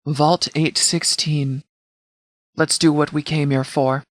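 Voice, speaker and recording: A stern wasteland militia sheriff, voiced by a man for a video game, with a slightly high male voice recorded close and dry. He speaks formally and with composed authority, handing out clipped orders in measured sentences. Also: flat delivery, no room sound. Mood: stern, businesslike. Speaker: game voice actor playing a sheriff. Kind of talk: scripted video game dialogue.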